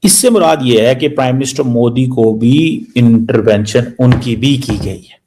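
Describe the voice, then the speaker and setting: A man giving unscripted news analysis, mixing in English, halting and repeating phrases for emphasis. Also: medium pitch, warm tone, slight background noise. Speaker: news commentator.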